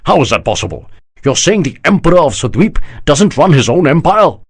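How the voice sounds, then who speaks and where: A man voicing a stern, husky army general. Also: a little high, sing-song, a little fast, pitched above the performer's own voice, exaggerated voice. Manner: scornful, unbending. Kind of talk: audiobook character voice.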